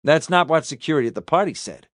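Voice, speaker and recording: Man voicing a needling detective, swooping animated pitch. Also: fast, no room sound, clean studio sound, lightly acted. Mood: pressing. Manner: probing, taunting. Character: sarcastic, needling male police detective interrogating a suspect.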